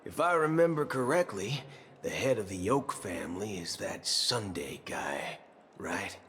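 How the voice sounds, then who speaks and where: A man's voice: on the low side, lively intonation, unhurried, a little hiss or hum. A male actor playing a brash, shrewd cowboy gunslinger in a video game, with a folksy, slangy way of talking. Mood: cocky, scheming. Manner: folksy, dropping casual slang and softened swears. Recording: game music behind the voice. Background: game soundtrack music.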